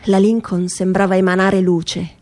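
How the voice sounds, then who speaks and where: A woman giving a dramatic literary reading in measured, emphatic phrases, in the style of radio theatre. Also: medium pitch, flat delivery, a little mellow. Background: music.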